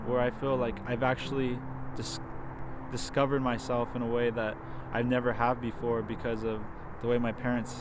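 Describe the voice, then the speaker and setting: A twenty-year-old man volunteering at a youth media group, speaking hesitantly with restarts in an interview. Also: medium pitch. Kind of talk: interview answers and reading a personal story. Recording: outdoor traffic noise behind.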